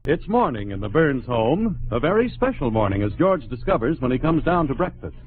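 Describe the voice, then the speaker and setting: A man's voice: mid-range voice, very animated, fairly quick, very noisy. A man announcing an old radio comedy show in scripted period style. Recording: tinny radio broadcast, loud music.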